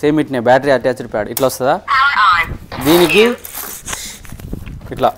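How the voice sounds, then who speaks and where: A man's voice: medium pitch, animated, normal speed, heavy background noise. A man with a Telangana Telugu accent chatting casually as a vlogger, explaining step by step with examples to his friends. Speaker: vlogger demonstrating a gadget.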